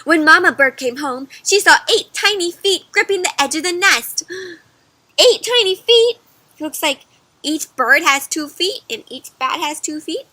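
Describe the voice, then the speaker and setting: Female children's read-aloud storyteller: high, very bright voice, slow delivery, expressive and question-led, dry close sound. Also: no room sound. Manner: expressive, asks questions, chatty asides to young listeners.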